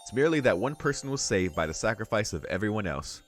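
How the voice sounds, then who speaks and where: A man reading a visual novel aloud as a let's play host, in measured narration with casual, slangy asides. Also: on the low side, sing-song. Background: music.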